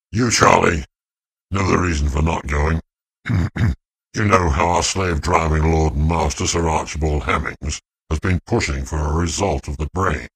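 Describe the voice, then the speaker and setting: A man playing a stubborn male computer researcher and heavy smoker, with a gravelly, husky voice and a grudging, muttering delivery. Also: rumbling low, normal speed, no room sound, voice pushed down, exaggerated voice. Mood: grumbling, pleading. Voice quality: gravelly, husky, throat-clearing.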